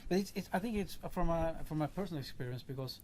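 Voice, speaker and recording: A man's voice: a little high, animated, fast talker, a little mellow, a little hiss or hum. Norwegian-accented male interviewer, searching for words. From Norway. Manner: searching for words, many fillers. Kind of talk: interview conversation.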